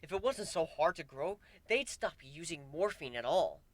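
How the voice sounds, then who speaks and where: Man voicing weary pegasus grower, lively swooping pitch. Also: moderate pace, dry recording. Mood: incredulous. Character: weary male pegasus plant grower, wry and resigned. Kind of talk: dramatic fan fiction reading.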